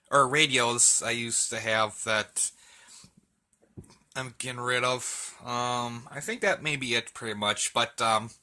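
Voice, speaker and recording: A man's voice: mid-range voice, pushed and bright. A man chatting casually in a rambling, hesitant, folksy way as he shows off a hobby collection at home. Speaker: radio hobbyist vlogger. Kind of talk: casual rambling show-and-tell chat. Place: indoors at home, room with radio shelves.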